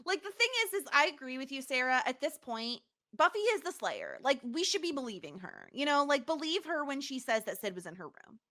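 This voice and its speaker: A woman with a bright, forceful, fairly high voice hosts a casual podcast. She retells a TV episode in an animated way, as if chatting with friends. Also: a little fast, few pauses. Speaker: podcast host.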